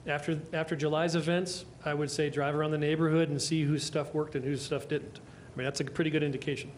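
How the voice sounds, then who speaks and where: A man's voice: a little high, level tone, quick pace, slight background noise. A man, a city official, explaining stormwater issues at a public meeting in a reassuring way. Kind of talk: answering questions at a public meeting.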